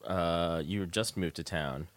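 A man's voice: low-pitched, takes it slowly. A man hosting a casual interview show on the radio, chatting informally from a studio.

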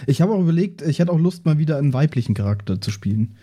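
A man talking casually as he brainstorms ideas with others in a relaxed group chat. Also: on the high side, racing. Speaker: podcast player in an audio drama cast.

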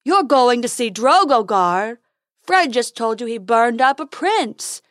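Goofy stubborn unicorn guy, slow and emphatic, talks about himself, woman doing it. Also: on the high side, expressive, dry recording, studio quality. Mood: eager. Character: silly stubborn male unicorn.

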